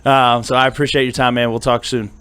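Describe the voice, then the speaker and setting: A man's voice: medium pitch, flat delivery, fast talker, a bit bright, clean tone. A man from central Pennsylvania hosting a podcast, upbeat and friendly, chatting casually with lots of fillers. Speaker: podcast host. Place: studio.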